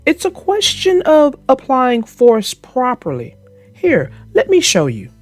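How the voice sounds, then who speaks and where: A woman's voice: high voice, wildly swooping pitch, slow, soft background music, pitched above the performer's own voice, full character voice. A woman voicing a brainy know-it-all schoolboy in a children's storybook, precise and instructive. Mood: smug, instructive.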